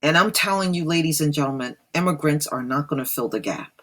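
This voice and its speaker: A woman commentator with a husky voice, reading aloud and commenting emphatically as she addresses her listeners directly. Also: low-pitched, fairly quick. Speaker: online commentator and host.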